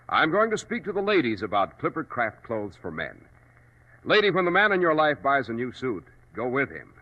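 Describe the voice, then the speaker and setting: A man's voice: mid-range voice, breathy edge. An American man working as a radio announcer, delivering scripted sponsor copy in an emphatic, polished 1940s style. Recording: dull old radio broadcast recording.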